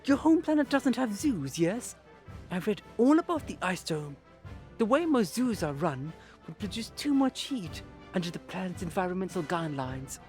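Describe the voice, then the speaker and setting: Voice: medium pitch, big pitch swings, normal speed, darkish tone, faint music behind, natural voice. An actor playing a polite, eager alien host with formal, precise phrasing in an audio drama. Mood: polite, earnest.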